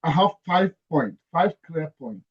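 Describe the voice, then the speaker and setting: A man's voice: fairly high pitch, animated, measured pace, breathy edge. A man with a Nigerian accent, a guest speaking earnestly and emphatically on a video call, often repeating his phrases. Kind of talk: conversational interview. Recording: dull lo-fi call audio.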